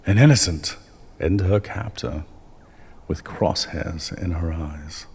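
A man acts out a cryptic radio-play doctor with a low, heavy voice. He draws out each riddle slowly and portentously, taunting his listener, with a bed of noisy ambience behind him. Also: darkish tone. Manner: slow, portentous, theatrical delivery of riddles. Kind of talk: radio drama acting.

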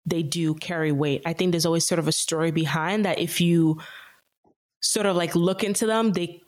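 A woman's voice: on the low side, steady tone, moderate pace. Chatty young Nigerian-Canadian woman. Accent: Nigerian with Canadian mix. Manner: chatty, many "like" fillers, laughs often. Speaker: guest. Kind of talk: casual interview chat.

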